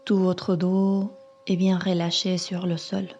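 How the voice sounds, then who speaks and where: A woman yoga teacher calmly leading a guided meditation in measured, instructing phrases. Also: fairly low pitch, dull audio, slight background noise. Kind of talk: slow guided meditation narration.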